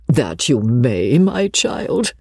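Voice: medium pitch, sing-song, very slow, darkish tone, breathy edge, no room sound, clean studio sound, heavily acted. A reader voicing a sentimental, nosy old lady, gushing and coaxing, in an audiobook of a classic novel. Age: older.

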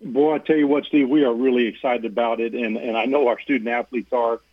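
Guy on a phone line, middle pitch, rambly and earnest, lots of uhs. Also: fairly even intonation, fairly quick, dry recording. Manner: earnest, rambling with many fillers and restarts. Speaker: athletic director guest. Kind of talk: radio interview on a phone line. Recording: tinny phone line, dry.

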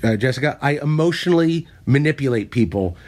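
A man, a stand-up comic, trading stories on a podcast. His voice sits in a middle register. He talks in loose, self-deprecating anecdotes that get animated when he acts out a bit. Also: mid-range voice, brisk. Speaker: stand-up comedian and podcast host. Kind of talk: casual podcast chat.

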